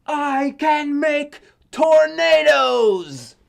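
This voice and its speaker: Guy doing a defiant little storybook boy with big dreams, raised pitch, super dramatic. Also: lively intonation, very slow, creaky, heavily acted. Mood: excited, grandiose.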